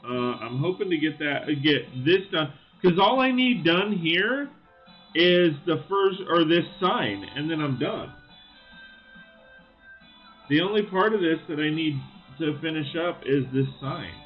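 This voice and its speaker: A man hosting a casual live stream, thinking aloud with lots of fillers and greeting viewers, his voice slightly raspy. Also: a little high, expressive, unhurried, lo-fi sound, faint music behind. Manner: friendly greetings to chat viewers. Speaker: livestream host. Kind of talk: casual live-stream chat while building.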